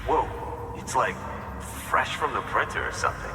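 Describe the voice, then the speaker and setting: A man's voice: mid-range voice, wildly swooping pitch, moderate pace. An actor playing a cheerful, chatty male depot worker in casual video game dialogue. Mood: delighted. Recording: distant, echoing hall with music.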